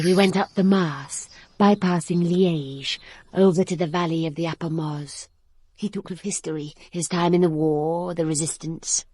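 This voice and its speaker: An actress playing an honest, spirited young woman in a radio drama, breathy and unhurried. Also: on the low side, darkish tone, no room sound. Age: young adult. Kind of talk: radio drama acting, partly narrating.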